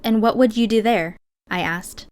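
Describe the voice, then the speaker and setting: A woman's voice: fairly high pitch, normal speed, darkish tone, clean tone. A woman reading scripted first-person fiction as an audiobook narrator.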